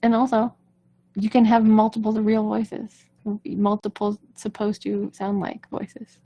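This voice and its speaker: A woman's voice: mid-range voice, little pitch movement, measured pace, warm tone, poor audio. A woman vocal coach speaking casually and reassuringly to viewers in a live-streamed coaching chat.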